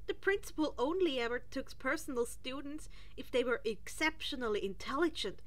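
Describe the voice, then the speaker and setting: A woman reading a story aloud in an expressive, amateur audiobook style. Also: sits high. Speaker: fan fiction audiobook reader.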